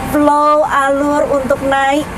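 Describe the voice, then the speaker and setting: A woman's voice: high-pitched, monotone, takes it slowly, noisy background. A woman doing a chatty live broadcast interview, encouraging and prompting with questions. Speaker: field reporter interviewing guests. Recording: music playing behind the voice.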